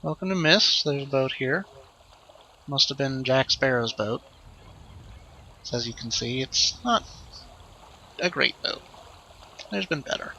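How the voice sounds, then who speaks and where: A man hosting a let's play with casual, improvised commentary, rambling and thinking out loud as he goes. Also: mid-range voice, a little slow, pauses often, a little hiss or hum, rough recording.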